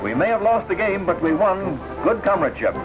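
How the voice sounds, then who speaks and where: A man's voice: high-pitched, very animated, a little fast, rough recording. A man reading scripted 1940s newsreel narration in a formal, patriotic announcer manner, on an old film soundtrack. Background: loud newsreel music.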